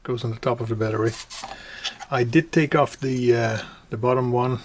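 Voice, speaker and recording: A man presenting an informal hands-on do-it-yourself tutorial, explaining step by step in a halting way and repeating his instructions. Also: mid-range voice, steady tone. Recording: clearly noisy home recording with clicks.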